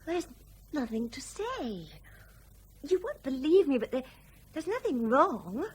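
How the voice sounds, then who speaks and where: An exasperated, tipsy young wife answering back in a radio drama. Her voice is high and very animated, defensive in tone, with some noise behind it. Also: takes it slowly, heavily acted. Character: defensive, tipsy married daughter, former air hostess.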